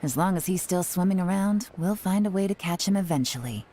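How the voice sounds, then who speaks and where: Actress playing a cool female spymaster, low voice, calm, crisp orders, faint game music. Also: brisk, a little hiss or hum, close to an everyday voice. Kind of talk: game dialogue.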